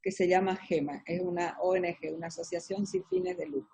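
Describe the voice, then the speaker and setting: A middle-aged Argentine woman with an Argentine Spanish accent, answering interview questions about her business in an explanatory way, often pausing on fillers. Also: on the low side, very animated, creaky, warm tone, husky. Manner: explanatory, hesitant with many fillers. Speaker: businesswoman guest.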